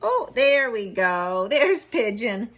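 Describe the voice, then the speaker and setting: A woman's voice: fairly high pitch, sing-song, takes it slowly, fairly bright tone. A woman teacher reading a picture book aloud to young children, expressively, with asides and questions.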